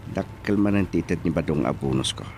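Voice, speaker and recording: A man's voice: sits low, a little fast, a little mellow, slight background noise. A man with an Ilocano accent playing a smug schemer muttering to himself in a radio drama. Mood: smug musing. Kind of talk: radio drama acting, musing aloud.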